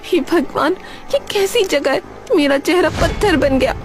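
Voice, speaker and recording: A woman's voice: high-pitched, unhurried, soft background music, voice pushed up. A woman voicing a spoiled, fashion-loving young daughter-in-law in a cartoon, whining and complaining theatrically. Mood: miserable complaining.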